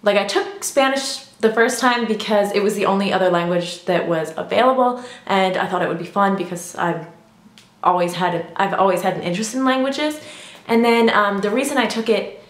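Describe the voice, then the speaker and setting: A woman's voice: mid-range voice, a little fast. A young woman vlogging casually about learning languages, chatty and full of ums and you-knows. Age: young adult. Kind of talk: casual vlog talk. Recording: echoey room.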